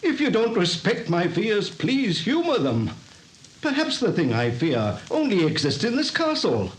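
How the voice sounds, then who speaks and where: A worried old family doctor. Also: male voice, high-pitched, wildly swooping pitch, a little slow, full character voice. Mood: pleading. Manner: earnest, worried warning. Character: older family doctor, anxious and fatherly. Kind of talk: 1930s radio acting. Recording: old radio broadcast with faint hiss.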